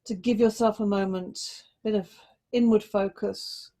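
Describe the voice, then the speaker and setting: A woman yoga teacher guiding a relaxation and body check-in, giving slow, gently coaxing instructions with pauses between phrases. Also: mid-range voice, steady tone.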